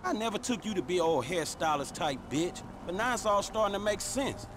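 A man's voice: sits high, lively intonation, a little fast. An actor playing a wary, exasperated young male gangster in a video game, grumbling in profane, slangy street talk. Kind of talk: video game cutscene acting. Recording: game sound effects and noise behind.

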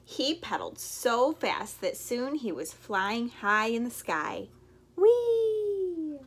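A woman's voice: a little high, slow. A young adult woman reading a children's storybook aloud expressively, acting out sound effects. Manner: animated, with acted sound effects. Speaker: story time reader. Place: bedroom, indoors.

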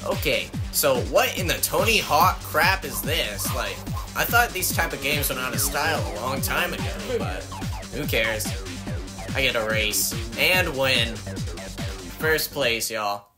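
A man's voice: mid-range voice, lively intonation, slow pace. A man voicing a cocky young hedgehog hero in a comedic fan dub, joking and boastful. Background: game music.

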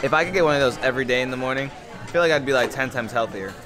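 A young man talks to a handheld camera, upbeat and chatty as he walks through a cruise ship buffet. His voice sits at an everyday middle pitch. Music plays clearly in the background. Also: rapid-fire. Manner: upbeat, chatty, reads signs aloud. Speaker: vlogger. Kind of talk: casual travel vlog narration. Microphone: handheld camera at arm's length. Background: background music playing.

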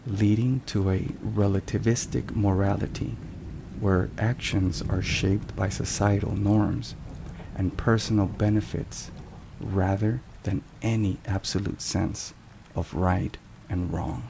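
Very slow, low-voiced man over rain. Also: gravelly, background noise. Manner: slow, deliberate, measured phrasing. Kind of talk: ASMR scripted narration, religious reflection. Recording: steady rain and thunderstorm sounds behind. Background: rain and thunder.

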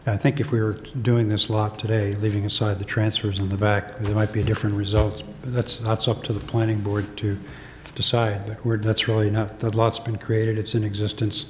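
Flat-voiced older man chairing a meeting. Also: on the low side, a little fast. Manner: plain, questioning. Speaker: board chair. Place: council chamber. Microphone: desk mic. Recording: echoey hall with faint noise.

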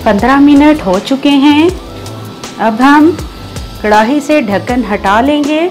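A woman's voice: high-pitched, slow, darkish tone. A woman with a Pakistani Urdu accent hosting a cooking show, giving patient step-by-step recipe instructions with enthusiasm for the dish. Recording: voice-over with faint background music.

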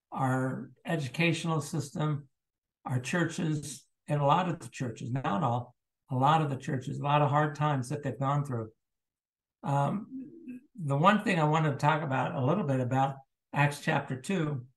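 An older man giving a sermon from a small room into a webcam, speaking haltingly with many fillers and restarts. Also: on the high side, rough recording. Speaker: preacher. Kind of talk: sermon over video call. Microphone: webcam at close range.

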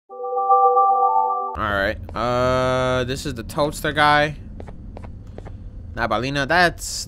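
A man in his twenties giving casual, slangy, jokey gaming commentary with some swearing and excitable reactions. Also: mid-range voice. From Dominican background. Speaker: gaming video host. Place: indoor room, gaming setup. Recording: noticeable background game noise.

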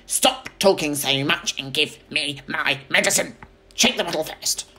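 Wicked old grandmother for a children's storybook, played by a man: high, creaky, bossy and shouty. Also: a little slow. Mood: snappish, bossy. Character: wicked, cantankerous, shrieking old woman, grandmother.

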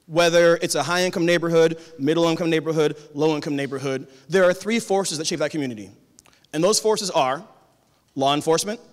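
A man delivers a keynote talk on a conference stage into a handheld mic. His voice sits a touch high, and his delivery is measured and conversational, sometimes rising into sermon-like emphasis. A long hall reverb trails his words. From Tacoma, Washington. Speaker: keynote speaker, high school teacher. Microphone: handheld cordless mic.

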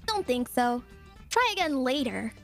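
A woman's voice: high voice, sing-song, unhurried, gravelly, heavily acted. A woman voicing a sassy, passive-aggressive young female chatbot in short, flippant game-assistant lines. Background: video game music.

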